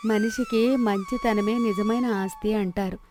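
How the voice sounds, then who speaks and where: A woman's voice: mid-range voice, steady tone, normal speed, music in the background, lightly acted. A woman voicing a humble, pious village wife and cook, modest and respectful, in a moral story. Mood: humble, grateful. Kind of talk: Telugu moral story dubbing.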